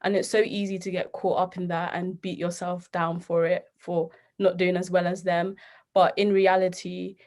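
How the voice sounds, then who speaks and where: A woman's voice: fairly low pitch, level tone, moderate pace, darkish tone. A young British woman giving earnest advice as a youth panelist. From United Kingdom. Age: young adult.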